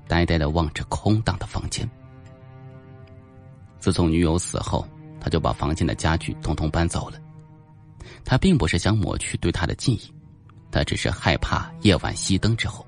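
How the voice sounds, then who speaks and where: A man narrating a suspense novel as a scripted, dramatic audiobook reading. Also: low-pitched.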